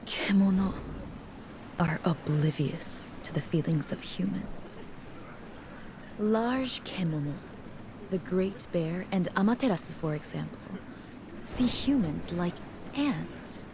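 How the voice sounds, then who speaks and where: A woman's voice: lowish voice, animated, background noise. An actress playing a composed, stern, philosophical female merchant in a fantasy game, speaking formally. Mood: stern, grave. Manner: formal, measured, sententious. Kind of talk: video game cutscene acting.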